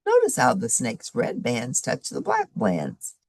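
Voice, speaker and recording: Folksy, gravelly snake wrangler guy acted by a woman. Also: very deep voice, huge pitch swings, unhurried, darkish tone, dry recording, clean studio sound, full character voice. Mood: easygoing, instructive. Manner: easygoing, folksy, explanatory. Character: friendly, knowledgeable man who handles snakes for a living.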